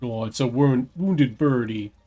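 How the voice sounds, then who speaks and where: A man giving casual playthrough commentary as a gaming streamer, musing aloud in a low-key way. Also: unhurried, phone-quality sound, faint music behind.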